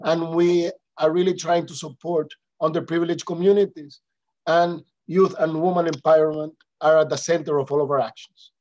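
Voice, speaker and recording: A man's voice: a little high, darkish tone, lo-fi sound. Spanish-accented man giving a webinar talk. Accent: Dominican Spanish. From Dominican Republic. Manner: hesitant with many fillers and restarts, polite. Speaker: panelist representing an international organization. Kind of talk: webinar presentation.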